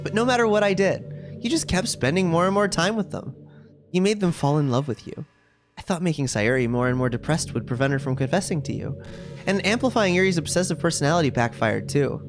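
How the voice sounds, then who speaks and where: A man's voice: fairly high pitch, brisk, natural voice. A man voicing a sweet, possessive, self-aware schoolgirl from a video game in a chatty, cutesy, confiding way. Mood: casual confession. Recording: music playing behind the voice. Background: game music.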